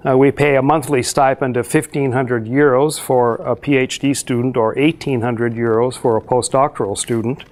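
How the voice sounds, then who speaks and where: A middle-aged male professor explains a research exchange program in a slide presentation. He speaks at an average pitch, in a steady, measured way, and pauses often on ums and uhs as he works through each point.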